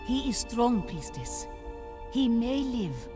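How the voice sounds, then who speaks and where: Pious temple sister, deliberate and unhurried, expressive pitch, video game cinematic. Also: female voice, over music. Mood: reverent, reserved. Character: reverent, restrained priestess follower. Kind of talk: video game cutscene acting.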